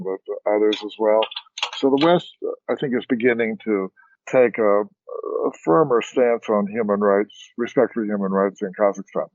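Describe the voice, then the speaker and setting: An older man, a former ambassador, speaking as a guest on a policy panel in a measured way, pausing often with "uh". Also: fairly low pitch, darkish tone, no room sound, highs cut off. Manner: measured, many "uh" pauses. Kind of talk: expert panel discussion.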